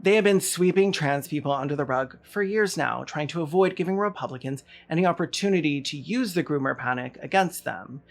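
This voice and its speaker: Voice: medium pitch, brisk, gravelly, few pauses. A video essayist delivering scripted political commentary in an emphatic, sardonic way.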